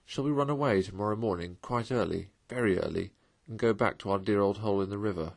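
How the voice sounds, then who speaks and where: Shy little mole, a man voicing him, whispery and eager, lowish. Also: quick pace, creaky, a little mellow. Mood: hushed. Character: timid homesick male mole.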